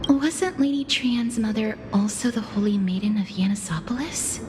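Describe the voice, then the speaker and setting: A woman playing a gentle, caring young healer in a video game, polite and patient. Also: mid-range voice, moderate pace, lightly acted. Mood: kind, calm. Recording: game music behind.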